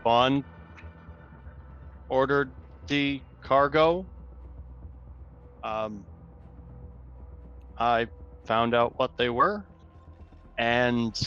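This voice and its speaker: Man as conflicted android, slow subdued delivery, halting, dull sound, music behind. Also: medium pitch, fairly bright tone, smooth. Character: conflicted synthetic android, quietly defending his decision. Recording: dull, lo-fi voice channel.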